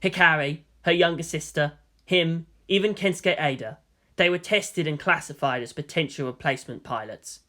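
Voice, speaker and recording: A man's voice: on the high side, normal speed, pushed and bright. A man reading a story aloud as dramatic scripted narration.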